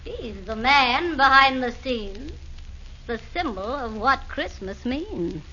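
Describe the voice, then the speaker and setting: An actress playing a scheming female demon with a slow, sly manner in a 1940s radio play. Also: sits high, animated, sharp tone, dull audio, a little hiss or hum, full character voice.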